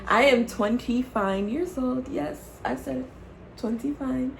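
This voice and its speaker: A woman's voice: high voice, measured pace, gravelly, rough recording. A woman in her late twenties chatting casually to camera as a vlogger, playful and teasing, laughing often and calling her viewers y'all. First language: English. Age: 20s. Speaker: YouTube vlogger.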